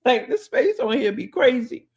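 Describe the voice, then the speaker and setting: A woman co-hosting a podcast, telling stories in an animated way and acting out both sides of conversations. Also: on the high side, normal speed. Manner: animated, acts out conversations in quotes. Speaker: podcast co-host. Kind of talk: casual storytelling chat.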